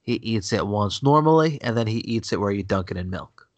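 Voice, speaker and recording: Man, stuffy with a cold, low and dry; blunt, opinionated co-host. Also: lively intonation, fairly quick, dry recording, muffled recording. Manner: blunt, opinionated, repeats himself. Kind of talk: casual chat. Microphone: remote call connection.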